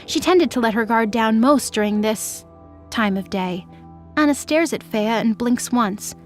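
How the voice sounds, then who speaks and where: A woman narrates a story in a scripted, dramatic audio-drama style. Her voice sits a little high, and faint music plays behind it.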